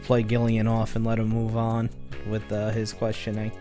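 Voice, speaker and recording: A man's voice: on the low side, completely flat delivery, normal speed, warm tone, faint music behind. A man hosting a let's play, giving wry, laid-back commentary with plenty of fillers. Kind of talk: casual game commentary.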